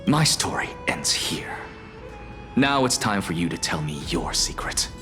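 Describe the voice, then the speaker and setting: A cool, defiant one-eyed young scholar from a fantasy game, his soft and often breathy voice rising and falling widely. It sits in a long, roomy echo with clear music behind it. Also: low voice, sing-song, a little slow. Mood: needling. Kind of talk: game voice acting.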